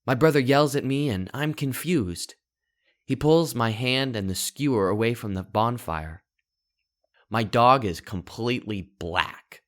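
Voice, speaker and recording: A man reading a scripted first-person short story in a deliberate way, with dramatic pauses between short phrases. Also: medium pitch, a bit bright. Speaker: author reading his own short story.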